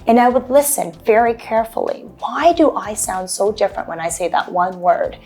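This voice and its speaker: A woman's voice: mid-range voice, normal speed, a little mellow, soft background music. A woman from Toronto, an actor chatting loosely as a guest, giving advice with many ums and restarts. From Toronto, Canada. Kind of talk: casual interview advice.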